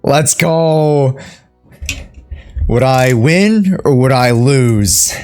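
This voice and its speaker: A woman game streamer with a very deep voice. She talks in a slow, offhand way that still swings in pitch, giving playful live commentary. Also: lively intonation, a little mellow, clear, resonant tone, soft background music. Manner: playful, offhand reactions, echoes game dialogue. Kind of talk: casual live playthrough commentary.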